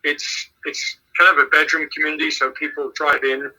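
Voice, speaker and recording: A man with an English accent speaks in a measured way as a missions pastor. His voice is very bright and a little husky. He often repeats words while gathering his thoughts. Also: a little high, flat delivery, rough recording. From England. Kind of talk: casual phone interview answers.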